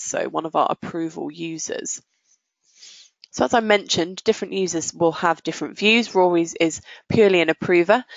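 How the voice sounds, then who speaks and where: Woman, software demonstrator, lowish pitch, explanatory and methodical, very dry muffled sound. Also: gravelly, dry recording. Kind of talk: walkthrough presentation of business software.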